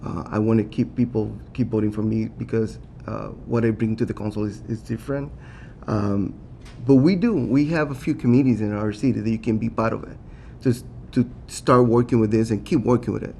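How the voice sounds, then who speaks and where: A man with a Latin American Spanish accent, a city council candidate giving earnest, halting answers at a forum, with many fillers and restarts. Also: fairly low pitch, fairly even intonation, vocal fry, mellow, slight background noise.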